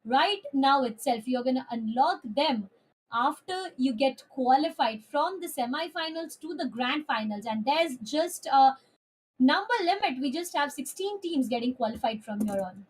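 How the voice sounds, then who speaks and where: High-voiced female esports caster. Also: expressive, normal speed, low-quality recording. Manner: chatty, lots of filler sounds. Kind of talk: live esports commentary.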